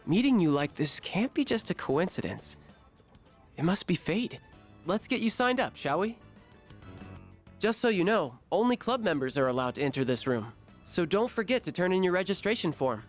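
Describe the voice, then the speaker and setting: Earnest teen club leader, lively up-and-down delivery, music under. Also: male voice, sits high, normal speed. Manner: earnest, hesitant, then fussy and caring. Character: earnest teenage male art club leader, fussy.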